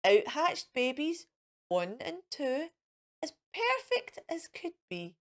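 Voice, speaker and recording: Animated, slow-paced woman children's storyteller. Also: sits high. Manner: sing-song, addressing young children. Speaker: children's storytime host and reader. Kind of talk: animated picture-book reading for children.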